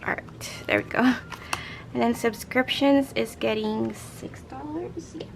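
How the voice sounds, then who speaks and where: A young woman with a raspy, hoarse voice, chatting casually as a budgeting vlogger and counting out cash into envelopes. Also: fairly high pitch, slow pace, noisy background. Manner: chatty, rambling, counts money aloud. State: hoarse from a late night. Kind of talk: casual chat while stuffing cash envelopes.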